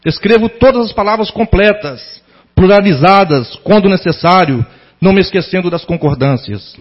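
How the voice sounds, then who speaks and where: A middle-aged Brazilian man delivering a prepared public tribute in a formal, sentimental, deliberately phrased way. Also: high-pitched, breathy edge. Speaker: former pupil giving a tribute to a teacher. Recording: dull lo-fi sound.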